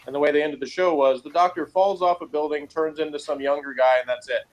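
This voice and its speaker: A man's voice: fairly high pitch, steady tone, quick pace, poor audio. A middle-aged man hosting a casual review discussion, talking in a rambling, self-qualifying, conversational way. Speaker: podcast host.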